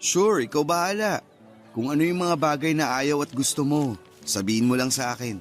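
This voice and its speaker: A man's voice: a little high, normal speed, close to an everyday voice. An actor playing an earnest, agreeable young man courting an old flame in a Filipino radio drama, in a tentative conversational manner. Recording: music under the voice.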